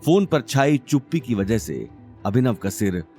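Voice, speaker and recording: A man's voice: medium pitch, sing-song, a little slow, mellow, soft background music. A man narrating a scripted audio drama serial in a dramatic storytelling style.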